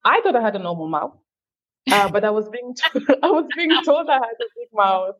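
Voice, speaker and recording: A woman from Cameroon, a guest answering interview questions in a thoughtful, measured way that quickens on asides. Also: fairly high pitch, animated, fairly bright tone, highs cut off, a little hiss or hum. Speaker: guest, feminist leader.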